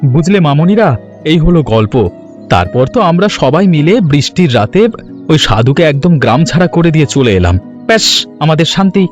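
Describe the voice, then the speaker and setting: A man voicing an excitable, loyal village helper in a cartoon, exclaiming and halting over filler words. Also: on the high side, lo-fi sound. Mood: chatty. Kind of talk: cartoon story dialogue. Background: music.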